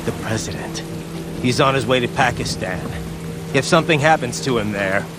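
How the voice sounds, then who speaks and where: A driven cyborg soldier from a video game, acted by a man, speaks in clipped, grim phrases. The pitch sits in the middle and music plays clearly behind the determined delivery. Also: expressive, normal speed, lightly acted. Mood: tense, resolute. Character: terse, driven male cyborg soldier on a mission. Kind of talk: video game cutscene acting.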